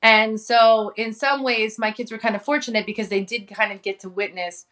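Bright, chatty woman guest. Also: a little high, steady tone, normal speed. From Hawaii, United States. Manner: chatty, laughs often, many fillers. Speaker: interview guest. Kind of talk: casual conversation.

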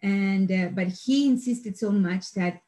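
A woman from Ecuador, telling her story in an interview in a halting, self-correcting way. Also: mid-range voice, dark tone. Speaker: guest, financial education instructor.

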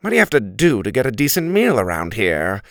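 A man's voice: fairly low pitch, wildly swooping pitch, creaky, no echo, studio quality, heavily acted. A man voicing a pompous, grumpy male turkey who complains with a haughty, sneering drawl. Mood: complaining. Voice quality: haughty, sneering, drawn-out delivery.

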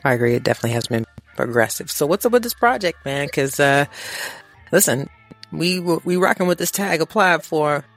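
An American woman chats as a podcast host with very lively intonation. Her talk is slangy and expressive. Soft music plays faintly underneath. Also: deep voice, brisk. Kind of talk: casual chat.

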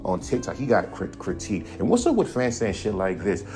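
A man's voice: on the low side, fairly quick. Profane ranting man over music. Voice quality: self-described monotone voice. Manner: blunt, profane, animated rant. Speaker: podcast host. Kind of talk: casual ranting monologue. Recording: music playing behind the voice.

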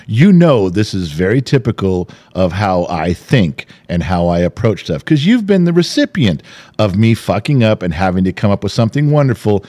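Middle-aged man, low voice, lively swings in pitch, joking casual chat, pokes fun at himself. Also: moderate pace. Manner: joking, self-mocking storyteller. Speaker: podcast co-host.

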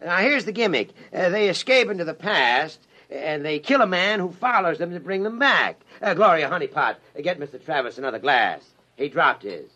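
Glib, high-pitched radio hustler. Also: male voice, lively intonation, moderate pace, pushed and bright. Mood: slyly menacing. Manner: glib, hearty, bossy, drawling out hints. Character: fast-talking, falsely genial movie man, secretly menacing hunter. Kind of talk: 1950s radio drama acting.

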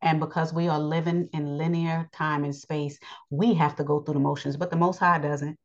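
A deep-toned woman with a scratchy voice gives a scriptural lesson on her podcast. She rambles and pauses often with ums. The recording sounds dull and lo-fi. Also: flat delivery. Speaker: podcast teacher.

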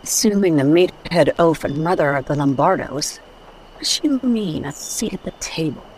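A woman's voice: deep voice, expressive, moderate pace, a little mellow, a little hiss or hum, poor audio. An actress playing a blunt, world-weary female adventurer in a radio detective drama. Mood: guarded. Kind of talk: old-time radio drama acting.

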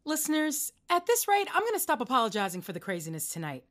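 A flustered late-night radio host. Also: female voice, high-pitched, huge pitch swings, fast, fairly bright tone, slight background noise, heavily acted. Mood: wry. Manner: exasperated, scolding. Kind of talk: audio drama acting.

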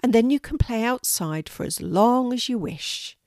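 A woman voicing a gentle, loving mother in a bedtime story, soothing in manner. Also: fairly high pitch, animated, unhurried, no echo, studio quality, voice pushed up.

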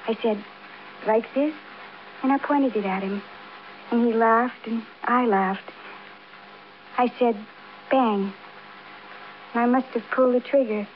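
An actress playing a childlike, defiant housewife confessing in a naive, matter-of-fact way, in 1950s radio crime drama style. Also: female voice, on the high side, slow pace, long pauses. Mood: wistful, detached. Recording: dull, noisy old radio broadcast.

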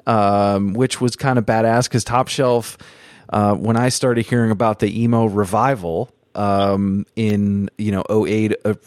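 A man hosting a podcast interview, speaking in measured, thoughtful turns and building long reflective questions. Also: lowish voice, steady tone, darkish tone, clear, resonant tone, dry recording, clean studio sound. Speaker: podcast host. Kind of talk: casual interview chat.

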